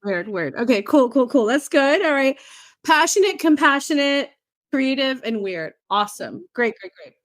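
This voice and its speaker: A woman's voice: high-pitched, lively intonation, normal speed, dry recording, clean studio sound. A woman hosting a podcast, chatting casually and encouragingly as she leads an interview. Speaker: podcast host.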